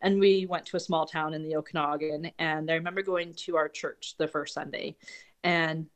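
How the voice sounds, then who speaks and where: A woman from Canada, a podcast guest speaking calmly and reflectively in a low, soothing voice. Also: normal speed. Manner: calm, even, reflective. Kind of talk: casual conversation.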